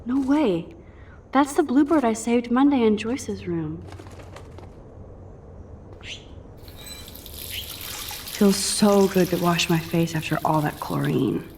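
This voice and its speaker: A young woman with an American accent playing an introspective, self-doubting teenage girl in a video game, soft-spoken, understated and casual. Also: medium pitch, takes it slowly, a little hiss or hum. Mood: musing. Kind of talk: video game acting, quiet inner monologue and dialogue. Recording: music under the voice. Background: background music.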